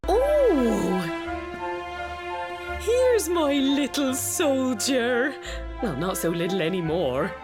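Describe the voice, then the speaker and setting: With music behind, a homely middle-aged village mother from a video game. She has a British accent, a very animated pitch and a doting, wry, chatty way of talking. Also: extremely slow, long pauses, full character voice. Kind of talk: scripted video game voice acting.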